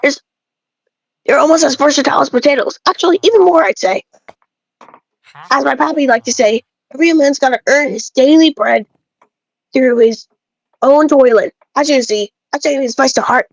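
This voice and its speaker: A woman with a distinctly high voice reads scripted character dialogue aloud. She delivers it as an amateur dramatic reading, like a monologue addressed to one listener. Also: moderate pace, pauses often, dull audio.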